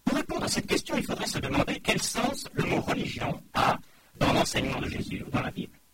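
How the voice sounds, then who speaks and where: A middle-aged man preaching a sermon in a measured, explanatory way, often quoting other voices. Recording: loud background noise.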